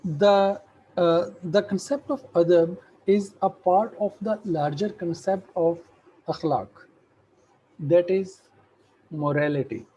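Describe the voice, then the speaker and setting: A middle-aged man, an Urdu speaker, giving an academic lecture in a slow, measured way with hesitant fillers. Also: sits high, dark tone, slightly rough, lo-fi sound.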